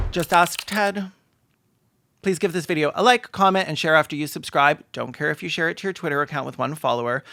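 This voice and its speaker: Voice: mid-range voice, gravelly, a bit bright, dry recording. Comedy commentator, sarcastic, mocking, theatrical delivery, mid-range voice, dry recording. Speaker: host of a comedic reaction show.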